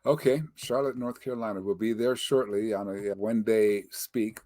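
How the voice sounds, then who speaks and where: A man's voice: on the low side, fairly even intonation, normal speed, darkish tone, no room sound. An older man hosting a casual interview over a video call, chatty and joking. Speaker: interview host. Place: home office in Denver, Colorado.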